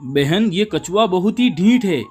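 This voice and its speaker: A man's voice: sits high, expressive, measured pace, darkish tone, pitched above the performer's own voice. A man voicing a big, short-tempered elephant in a cartoon animal story, domineering and taunting. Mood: irritated.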